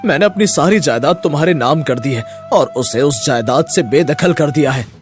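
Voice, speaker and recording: A man's voice: fairly high pitch, animated, normal speed, over music, close to an everyday voice. A man voicing a stern, remorseful older landowner father, grave and earnest, in a moral story.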